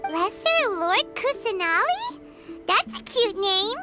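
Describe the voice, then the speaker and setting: A woman voicing a tiny childlike fairy companion in a video game, squeaky, bubbly, curious and blunt. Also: big pitch swings, takes it slowly, loud music behind the voice, full character voice. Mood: chatty, blunt. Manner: refers to herself by name, exclaims, blunt. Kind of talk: scripted video game dialogue.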